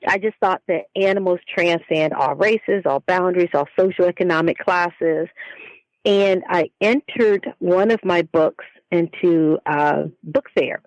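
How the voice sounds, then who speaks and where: A woman being interviewed as an author, telling her stories in an expressive, conversational way. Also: fairly low pitch, a little slow, no echo, poor audio. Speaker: guest, children's book author.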